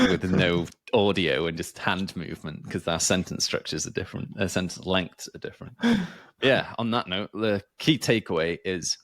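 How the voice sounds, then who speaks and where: Deep-voiced man chatting on a podcast. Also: a bit bright, dry recording, studio quality. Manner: rambling, filler-heavy, many restarts. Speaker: podcast host. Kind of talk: casual chat.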